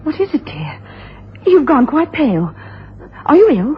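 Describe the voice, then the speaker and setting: A genteel, solicitous society wife in a radio play. Her highish voice is lively and politely attentive. The broadcast sounds dull, with clear background noise. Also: unhurried. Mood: concerned. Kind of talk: radio drama acting.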